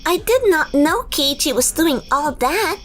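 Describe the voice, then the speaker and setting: Here is a woman playing a young woman in a romantic comedy manga dub. The voice sits high and delivers scripted, dramatic dialogue. Music runs underneath. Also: expressive, measured pace. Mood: casual, questioning. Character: young women in a romcom: breezy cheating girlfriend, indignant loyal twin.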